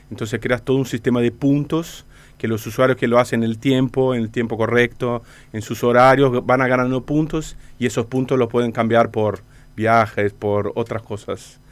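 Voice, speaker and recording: Brazilian man explaining, medium pitch, halting. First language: Portuguese. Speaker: interview guest, company director. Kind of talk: explanatory interview answers.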